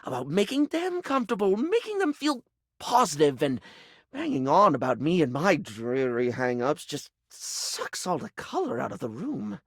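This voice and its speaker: Camp game character, living makeup kit, hugely animated pitch, effusive, rambling, insecure, dry close recording. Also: male voice, normal speed, dry recording. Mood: upbeat, reassuring. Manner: effusive, camp, gushing, rambling, calls people darling. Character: flamboyant, chatty, insecure male living makeup kit, eager to please. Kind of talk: video game character voice acting.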